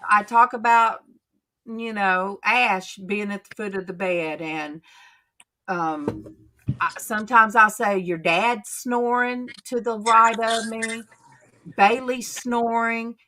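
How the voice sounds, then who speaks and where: A woman hosts a casual faith chat, telling homey stories at a slow, unhurried pace. Her voice is bright and forward, and her manner is chatty and drawn-out. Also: on the high side, slight background noise. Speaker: channel host.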